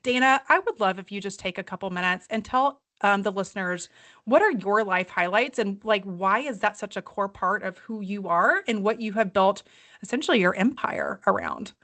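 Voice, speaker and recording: A woman's voice: medium pitch, sing-song, normal speed, fairly bright tone. A woman with a Southern accent, hosting a podcast in an upbeat, friendly way. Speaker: podcast host.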